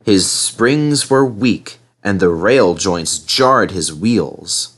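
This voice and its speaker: A man reading a children's story aloud as an expressive narrator. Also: sits low, drawn-out words, breathy edge. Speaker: storybook reader.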